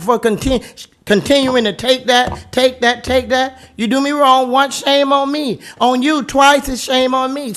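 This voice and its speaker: Passionate, emphatic man with high pitch. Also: fairly bright tone. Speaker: board member at a city meeting. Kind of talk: impassioned public meeting speech. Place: council chamber.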